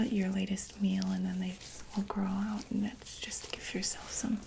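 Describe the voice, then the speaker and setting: A woman whispering softly in a breathy ASMR medical roleplay, gently and tentatively talking through each step as a doctor. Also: medium pitch, poor audio. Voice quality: breathy, mostly unvoiced whisper.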